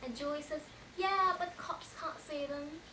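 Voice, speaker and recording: A woman's voice: high-pitched, measured pace, pushed and bright. A young woman playing a shaken teenage girl in a dramatic monologue, telling her story in a colloquial, run-on way. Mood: uneasy, chatty. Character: shaken teenage girl recounting a car crash. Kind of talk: dramatic stage monologue, first-person storytelling. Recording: echoey room, distant mic, faint noise.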